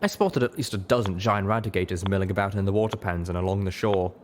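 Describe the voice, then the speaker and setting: A man's voice: low voice, fast, a little hiss or hum. A man reading a scripted first-person story aloud as an audiobook narrator. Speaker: audiobook reader.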